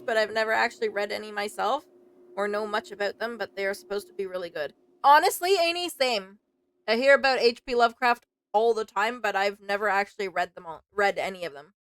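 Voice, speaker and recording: A woman with a fairly high voice streams a game and chats casually with her viewers. She is playful and quick to react to what she plays. There is faint noise behind her. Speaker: livestreamer playing a visual novel. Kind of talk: casual let's-play commentary to chat.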